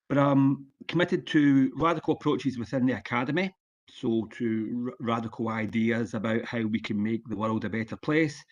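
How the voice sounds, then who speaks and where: A Scottish man in his sixties giving an online conference lecture as a guest speaker, plain-spoken and relaxed, with frequent ums and uhs. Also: mid-range voice, muffled recording. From Scotland. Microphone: video call.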